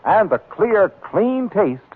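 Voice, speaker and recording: A man's voice: sits high, sing-song, slow pace, slightly rough. A man working as a radio announcer, reading a scripted 1940s commercial with emphatic salesmanship. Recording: narrow-band 1940s radio recording.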